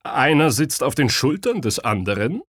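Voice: mid-range voice, big pitch swings, normal speed, no echo, clean studio sound, pitched above the performer's own voice. A storyteller voicing a cautious, regretful male tailor in a children's audiobook. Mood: regretful, cautioning.

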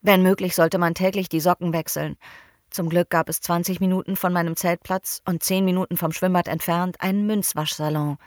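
Woman reading a novel out loud, low-ish voice, calm and even, dry close sound. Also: brisk, no echo. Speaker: audiobook narrator. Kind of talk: first-person novel narration.

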